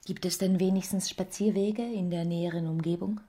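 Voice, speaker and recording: Radio play part: female actor as refined young wife full of romantic longing, soft, lowish voice. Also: fairly even intonation, a little fast, lightly acted. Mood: polite interest. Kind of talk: radio drama acting of a literary classic.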